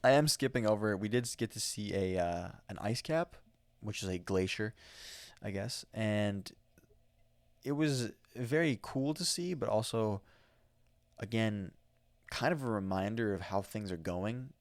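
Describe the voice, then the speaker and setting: Rambling American man, low dry voice. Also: smooth, no room sound. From United States. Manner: rambling, enthusiastic, with many fillers and restarts. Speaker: podcast host. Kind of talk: casual solo podcast storytelling. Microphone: studio microphone.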